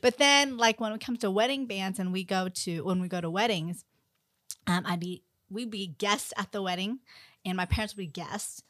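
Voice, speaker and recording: A woman from the United States, a podcast guest telling stories in an animated, chatty way, laughing often and drifting into tangents. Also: medium pitch, normal speed, no echo. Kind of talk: casual storytelling interview.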